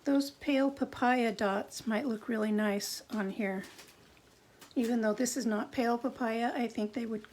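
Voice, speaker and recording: A middle-aged woman with a gravelly voice, chatting and thinking aloud through a live card-making demo at her craft desk. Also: fairly high pitch, fairly even intonation, a little slow, a little mellow, slight background noise.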